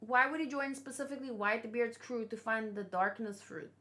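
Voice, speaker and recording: A woman's voice: fairly high pitch, brisk, sharp tone. A woman chatting casually and unscripted, thinking aloud and asking questions as she hosts a reaction video. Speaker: manga reaction host.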